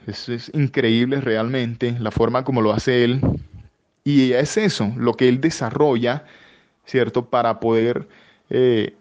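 A man with a Colombian accent explaining things as an interview guest, halting and repeating for emphasis, with frequent tag questions. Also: medium pitch, darkish tone. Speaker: interview guest, professional voice-over announcer. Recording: dull, lo-fi audio.

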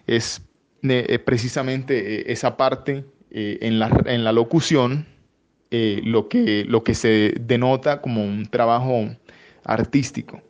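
A man with a Colombian accent explaining things as an interview guest, halting and repeating for emphasis, with frequent tag questions. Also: medium pitch. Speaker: interview guest, professional voice-over announcer. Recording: dull, lo-fi audio.

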